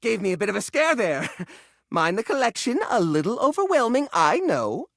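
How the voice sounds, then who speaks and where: An actor voicing a fussy, anxious junk drawer come to life in a video game, theatrical and flustered, with old-fashioned folksy exclamations. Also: male voice, high voice, very animated, moderate pace, gravelly, fairly bright tone, no room sound, studio quality, heavily acted. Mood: startled, welcoming. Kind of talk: video game character voice acting.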